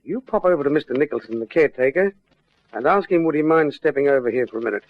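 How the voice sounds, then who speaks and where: An actor playing a puzzled, worried shopkeeper in a classic radio detective drama. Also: male voice, a little high, lively intonation, fast, lightly acted. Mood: uneasy. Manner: anxious, bewildered. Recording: muffled vintage radio drama recording.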